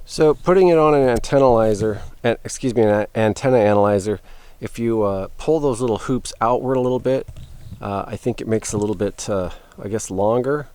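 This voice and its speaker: A man's voice: fairly low pitch, brisk, darkish tone, clean tone. A man hosting a gear review, talking casually and thinking aloud as he rambles through a hands-on demonstration. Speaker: host of a radio gear review channel.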